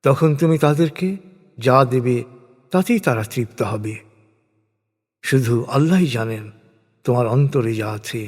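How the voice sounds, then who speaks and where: A man reading translated scripture as a solemn, scripted narration, in formal, measured phrases with frequent pauses. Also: mid-range voice, darkish tone. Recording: long hall-like reverb.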